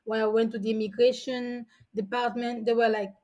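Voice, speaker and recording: A woman's voice: on the high side, flat delivery, normal speed, warm tone, lo-fi sound. A woman in her forties from São Tomé and Príncipe, a guest telling stories in a chatty way with frequent restarts. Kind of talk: casual storytelling.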